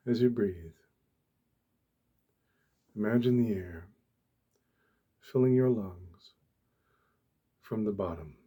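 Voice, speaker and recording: A soft-spoken man with a low, mellow voice guides a meditation in ASMR style. His delivery is very slow, gentle and deliberate, with long pauses. The voice is hushed and breathy.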